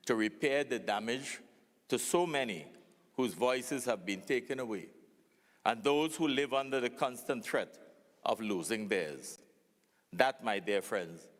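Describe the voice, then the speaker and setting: A man delivering a prepared speech in a formal, emphatic, oratorical manner, recorded in a reverberant hall. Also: medium pitch. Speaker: panel speaker. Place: large hall at a public forum.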